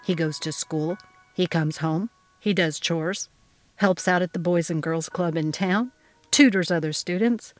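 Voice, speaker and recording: A woman's voice: on the low side, unhurried, soft background music. A woman giving a dramatic reading of a family story told in text-message dialogue. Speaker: story narrator.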